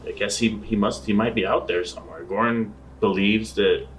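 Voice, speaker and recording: Guy doing a sheepish space adventurer, lowish voice, stumbles and repeats, bit far from the mic. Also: steady tone, slight background noise, close to an everyday voice. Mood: hesitant. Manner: halting, repeats himself. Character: sheepish male space adventurer reporting back. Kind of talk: improvised tabletop roleplay.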